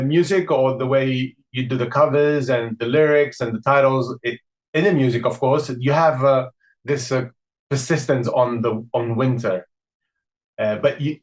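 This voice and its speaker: Earnest man, rambling interview questions. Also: mid-range voice. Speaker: podcast host.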